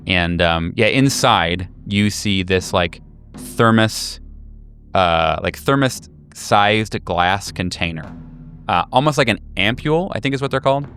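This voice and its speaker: A man working as game master, improvising tabletop horror narration in a halting, conversational, self-correcting way. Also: on the low side, a bit bright, faint music behind.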